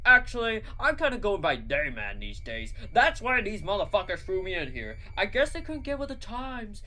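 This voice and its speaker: A woman's voice: medium pitch, very animated, normal speed, pushed and bright, pitched above the performer's own voice. Woman doing a brash, dim muscled hero man. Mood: rambling. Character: boastful muscled male hero, dim and insecure.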